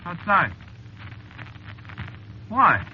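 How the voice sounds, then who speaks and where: An actor playing a nervous, superstitious American cab driver in a 1940s radio drama, pleading and stammering in working-class slang. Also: male voice, sits high, huge pitch swings, drawn-out words, heavily acted. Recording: dull, noisy vintage radio recording.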